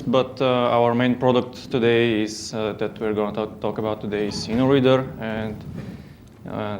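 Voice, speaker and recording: A man with a Bulgarian accent presents at a technical conference, his gravelly voice sitting at an ordinary middle pitch. He talks haltingly, stopping to fill gaps and restart his sentences. A faint hiss of noise sits under the recording. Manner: hesitant, many fillers and restarts. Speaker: conference speaker. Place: conference hall.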